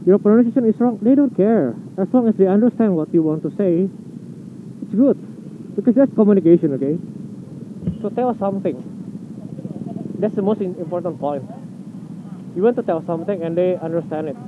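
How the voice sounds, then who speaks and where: A man's voice: high voice, lively intonation, a little fast, long pauses, background noise. Blunt Indonesian-accented young man, tinny sound. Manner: chatty, blunt, swears casually. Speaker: motovlogger. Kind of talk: casual vlog monologue.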